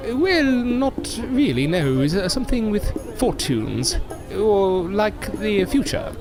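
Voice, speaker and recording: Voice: mid-range voice, sing-song, takes it slowly, music in the background. A performer playing a proud but polite circus newcomer in an audio drama, with an earnest, formal and sometimes hesitant manner. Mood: awkward, polite.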